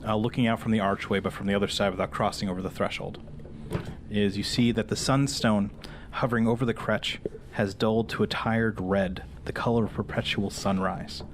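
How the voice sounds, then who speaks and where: A man working as game master, narrating a fantasy horror tabletop game in a halting, explanatory way. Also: lowish voice, steady tone, a little hiss or hum.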